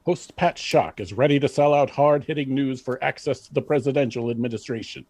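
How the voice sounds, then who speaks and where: A man's voice: medium pitch, brisk, a little mellow. A man narrating and announcing a scripted radio drama.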